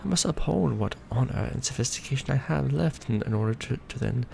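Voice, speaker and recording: A man playing a centuries-old vampire lord in a romantic ASMR roleplay, proud and doting, speaking formally with theatrical, measured phrasing. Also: mid-range voice, expressive, vocal fry, darkish tone, a little hiss or hum. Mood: proud, dignified.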